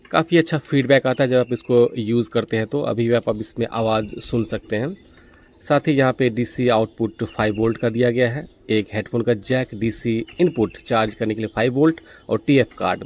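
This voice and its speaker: A man's voice: medium pitch, darkish tone. A man hosting a gadget review, explaining things methodically, step by step. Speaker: gadget reviewer, video host. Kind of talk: product review walkthrough, explanatory.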